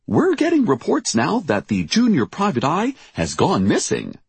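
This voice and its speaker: A man's voice: on the high side, wildly swooping pitch, moderate pace, vocal fry, a little mellow, slightly rough, dry recording, pitched above the performer's own voice, heavily acted. A man voicing an earnest female local TV news anchor with dramatic, over-emphatic delivery in an audiobook. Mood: breathlessly dramatic.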